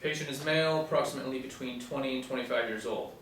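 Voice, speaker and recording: A man's voice: mid-range voice, fast, a bit bright, lightly acted. A man reading the part of a businesslike male paramedic at a script table read. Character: businesslike paramedic rattling off patient vitals. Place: small indoor room. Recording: very echoey room, distant mic, hiss.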